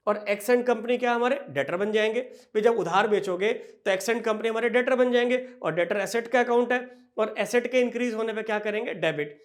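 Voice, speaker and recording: Man teaching accounting to students. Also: high voice. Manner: addresses students as "beta", asks and answers own questions; slow, drawn-out dictation of entries. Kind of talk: classroom lecture.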